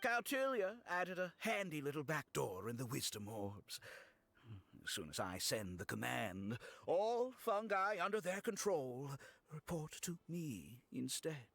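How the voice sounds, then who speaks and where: Smug, theatrical, bright-voiced villain. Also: male voice, a little high, very animated, creaky, dry recording, heavily acted. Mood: gloating. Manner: mocking, mock-polite, drawn-out dramatic delivery. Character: smug, theatrical, scheming villain man, ambitious schemer. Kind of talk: video game villain voice acting.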